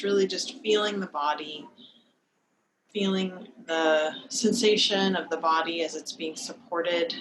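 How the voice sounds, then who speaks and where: A woman leading a guided meditation as a teacher, speaking in a calm, gentle, unhurried manner with long pauses, over a video call. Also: fairly low pitch. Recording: noisy live video-call recording.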